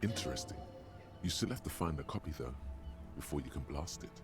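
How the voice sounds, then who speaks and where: An actor voicing a grave, wry male inner-voice narrator for a game, measured and dry. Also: lively intonation. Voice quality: deep gravelly. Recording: game audio with clear music.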